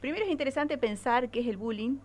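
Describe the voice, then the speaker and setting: A woman's voice: sits high, lively intonation, fast. Argentine woman explaining, faint noise behind. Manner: frequent check-in tag questions. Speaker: psychologist columnist on radio. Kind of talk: explanatory radio talk.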